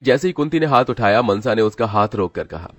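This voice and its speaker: Male narrator, fairly low pitch, scripted dramatic storytelling, dry studio-like sound. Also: animated, a little fast, dry recording.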